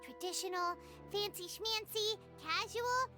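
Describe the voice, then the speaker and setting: A woman voicing a tiny, excitable, girlish fairy companion in a video game, squeaky and chirpy. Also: a little slow, over music. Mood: chirpy, agreeable. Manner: squeaky, speaks of self in third person. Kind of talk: video game character voice acting.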